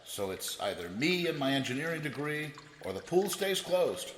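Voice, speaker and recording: A man's voice: a little high, very animated, normal speed, a bit bright. A man playing a harried condo official who does his own repairs, in an audio comedy. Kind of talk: audio comedy drama acting. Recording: echoey, reverberant space with faint noise.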